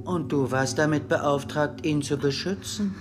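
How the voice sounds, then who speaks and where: An actor playing an eerily polite, calm villain who leads a strange gang in a dubbed TV drama. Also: male voice, a little high, over music, natural voice. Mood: cold, interrogating. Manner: measured, oddly calm. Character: serene, eerily polite cult-like male villain leader. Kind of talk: German-dubbed TV drama acting.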